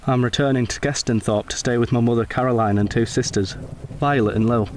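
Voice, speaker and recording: A man playing a brooding, principled young Edwardian gentleman soldier in a radio drama, speaking and narrating in a formal, intense, literary way. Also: mid-range voice, fairly even intonation, a little fast, slight background noise, lightly acted. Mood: matter-of-fact.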